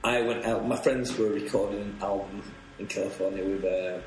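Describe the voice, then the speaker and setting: Low-voiced man telling rowdy stories. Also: steady tone, normal speed. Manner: rambling, laughs through his stories, swears freely. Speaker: touring musician guest. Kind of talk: casual podcast storytelling. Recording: roomy echo, faint noise.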